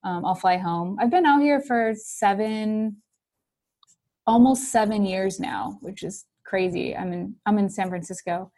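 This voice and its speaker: A woman's voice: medium pitch, unhurried, warm tone. A young woman from Wisconsin with a Wisconsin accent, chatting casually as a podcast guest and filling her talk with "like". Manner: chatty, many "like" fillers.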